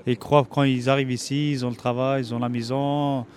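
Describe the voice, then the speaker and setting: A man's voice: medium pitch, little pitch movement, a little fast. A middle-aged man from Algeria talking candidly in a street interview. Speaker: interviewed immigrant. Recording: noisy outdoor street, traffic.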